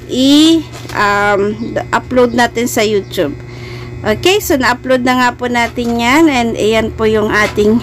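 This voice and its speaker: Filipina lady doing a casual how-to, highish voice, chatty n polite, music in the back. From Philippines. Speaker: host of a video editing tutorial channel. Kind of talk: casual screen-recorded tutorial for beginners.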